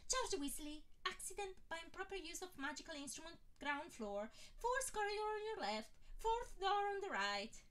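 A woman's voice: very high voice, lively intonation, normal speed, few pauses, a bit bright, pitched above the performer's own voice. Woman doing a flustered gabbling witch clerk. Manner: gabbling, clumsy. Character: flustered starstruck witch receptionist.

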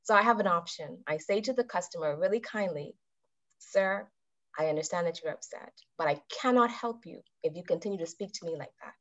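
A woman in her thirties giving a calm, measured talk to a club over a video call and putting questions to her listeners. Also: lowish voice. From Bahamas. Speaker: club speaker. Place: indoors with a virtual background. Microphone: webcam on a video call. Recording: dull video-call audio.

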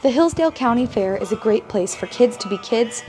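A young adult woman, a student reporter, reading a scripted news narration with a bright, upbeat delivery. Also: on the high side, expressive, a little fast, soft background music.

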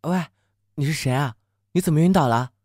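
A man's voice: on the high side, fairly quick, darkish tone, slight background noise, natural voice. A man playing a cheeky, boastful young man with a tender side, in an amateur audio drama. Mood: grumbling, exasperated. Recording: music under the voice.

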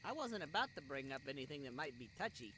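A man's voice: on the high side, very animated, fast, pushed and bright. A man playing a cocky, foul-mouthed bandit in a fantasy audio roleplay, with a slangy, mocking, wisecracking way of talking. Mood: sly, knowing. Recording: noisy, with night crickets behind. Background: crickets, night forest ambience.

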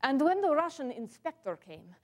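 Period monologue: actress as a proud woman scientist, high-voiced, measured and formal. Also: very animated, a little mellow. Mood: storytelling. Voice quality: measured, earnest, formal phrasing. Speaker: living history performer. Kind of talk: one-woman stage monologue. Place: dark stage set, indoors.

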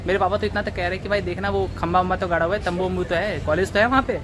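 A man's voice: high-pitched, fairly even intonation, racing, background noise. A man in his twenties from Bihar, India, chatting casually to camera as a college vlogger. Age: 20s. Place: outdoors on a college campus. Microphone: phone at arm's length. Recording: outdoor phone recording with crowd chatter, music.

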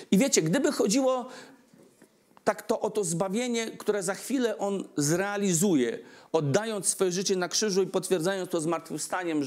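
A man in his forties giving a Christmas sermon at a lectern mic in a church hall, gesturing and putting questions to the congregation. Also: sits high. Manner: animated, asks the congregation questions, gestures broadly. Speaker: preacher. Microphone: stand mic at lectern.

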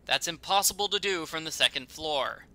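Bright, high-pitched sarcastic man narrating. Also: animated, normal speed. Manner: mock-indignant, sarcastic. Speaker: video critic. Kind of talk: scripted nitpicking narration of game flaws.

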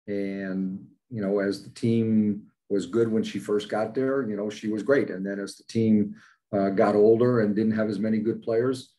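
A man's voice: on the low side, fairly even intonation, moderate pace, mellow. An older man, a basketball head coach, giving plain-spoken postgame answers full of fillers over a video call. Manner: plain-spoken, many fillers, matter-of-fact. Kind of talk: postgame press conference answers. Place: indoors in front of a logo backdrop. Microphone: video call, webcam at desk.

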